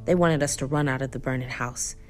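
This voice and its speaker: Casting: haunted young mother, low voice, intimate reflective radio drama monologue over music. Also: brisk. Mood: resigned, grieving. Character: haunted young mother recalling terror, resigned and tender. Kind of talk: first-person dramatic monologue.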